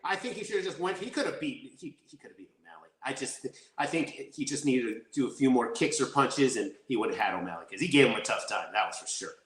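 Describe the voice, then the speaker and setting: A man telling stories as a guest actor in a casual interview, rambling and energetic with many restarts. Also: medium pitch, fast, few pauses. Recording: roomy echo.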